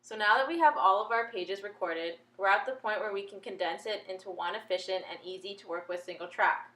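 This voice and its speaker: A woman's voice: medium pitch, brisk, fairly bright tone. A woman reading scripted tutorial narration in a formal, explanatory way. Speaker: tutorial presenter. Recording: echoey room sound.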